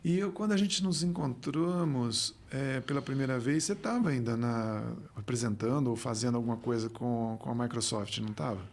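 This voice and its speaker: A Brazilian man hosting a casual podcast interview. He keeps the talk moving with quick, encouraging affirmations and a relaxed, chatty manner. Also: medium pitch, creaky. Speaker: podcast host.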